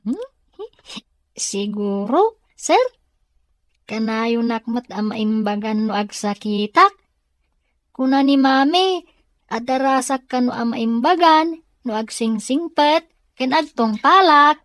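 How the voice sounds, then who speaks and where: A woman's voice: a little high, animated, slow, long pauses, fairly bright tone, dry recording, clean studio sound. A woman playing a sweet, innocent young child in an Ilocano radio drama, earnest and childlike, with a Filipino accent. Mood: cheerful, innocent.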